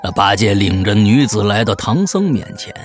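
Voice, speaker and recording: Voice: deep voice, very animated, normal speed, soft background music. A storyteller giving dramatic storybook narration in a folksy, traditional tale-telling manner.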